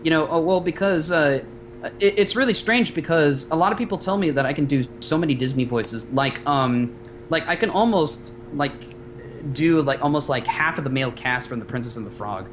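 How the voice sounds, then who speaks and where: A man's voice: on the high side, normal speed, background noise. Hesitant young man, chatty guest. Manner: hesitant, filler-heavy, breaks into character voices. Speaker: guest voice actor. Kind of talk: casual podcast chat.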